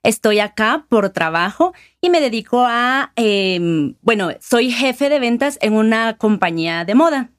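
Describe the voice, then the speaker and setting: Una mujer guatemalteca con acento guatemalteco, invitada en un podcast, que responde de forma pausada y con algunas vacilaciones. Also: female voice, a little high, expressive, no echo, clean studio sound. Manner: measured, with hesitation fillers. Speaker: guest, sales manager. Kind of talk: interview answers.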